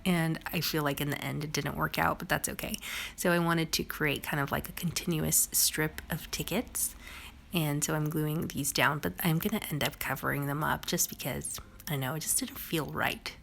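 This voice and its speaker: A young woman with a hoarse, raspy voice from a cold, chatting casually through a crafting video voice-over. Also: deep voice, steady tone, normal speed, fairly bright tone, slight background noise. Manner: chatty, bubbly, lots of "like" and "um". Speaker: crafting vlogger.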